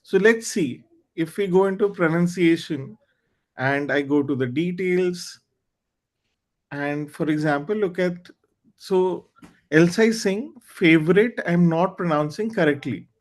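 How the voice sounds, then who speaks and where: A man with a high voice hosts a club meeting over a video call. His is Hindi, and he talks in an earnest, candid way, reaching for words with small fillers. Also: measured pace. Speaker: meeting host. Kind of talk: online club meeting talk.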